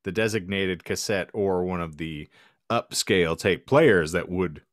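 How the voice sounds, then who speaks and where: A man's voice: low voice, a little slow, no echo. A man hosting a podcast with wry, sarcastic asides, bantering casually and reading bits aloud. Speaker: podcast host.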